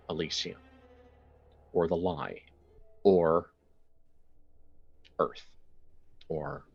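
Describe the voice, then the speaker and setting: A man narrating a horror tabletop game as game master, in a deliberate, dramatic storytelling manner with weighty pauses. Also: low voice, fairly bright tone. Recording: slightly dull audio with music behind. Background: music.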